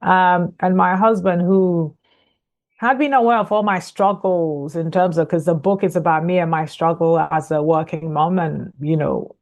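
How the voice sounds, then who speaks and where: Low-voiced woman, chatty guest from Ghana. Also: steady tone, moderate pace, a little mellow. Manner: chatty, laughs easily, many fillers and restarts. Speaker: guest, author and coach. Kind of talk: conversational podcast interview.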